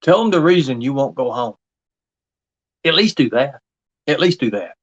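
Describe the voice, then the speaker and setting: A man's voice: mid-range voice, lively intonation, normal speed, highs cut off. A man livestreaming in an insistent, repetitive, confrontational way. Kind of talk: impassioned monologue to an absent person.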